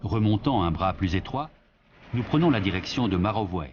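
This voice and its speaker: A man giving scripted documentary narration in a measured, even delivery. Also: lowish voice, muffled recording, background noise.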